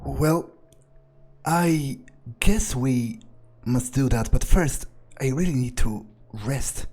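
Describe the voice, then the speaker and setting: A man improvising in character during a video game playthrough, speaking haltingly and broodingly in a husky voice. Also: medium pitch, a little mellow. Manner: halting, broody, heavy swearing. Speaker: gamer role-playing his character aloud.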